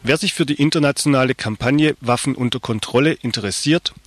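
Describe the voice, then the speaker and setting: A man's voice: mid-range voice, a little fast, dry recording, soft background music. A man presenting a radio magazine, reading scripted announcements.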